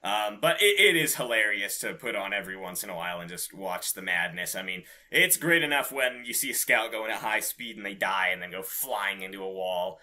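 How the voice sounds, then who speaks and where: A man's voice: fairly low pitch, animated, fairly quick, few pauses, pushed and bright. A man co-hosting a podcast in casual gaming chat, with a giggly, swearing manner. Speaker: podcast co-host.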